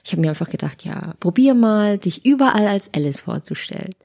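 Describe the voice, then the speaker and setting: A woman's voice: mid-range voice, lively intonation, brisk, creaky, dark tone. A woman from Bavaria chatting informally as an interview guest, recorded in a living room. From Bavaria, Germany. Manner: informal, conversational. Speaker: podcast guest, lifestyle and travel blogger. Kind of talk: casual interview chat.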